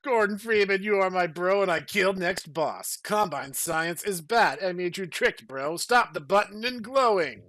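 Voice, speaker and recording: A man voicing overwrought action heroes and villains in a melodramatic, halting way for a comic story reading. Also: high voice, expressive, a bit bright, no room sound. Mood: urgent. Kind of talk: mock-dramatic comic fan fiction reading.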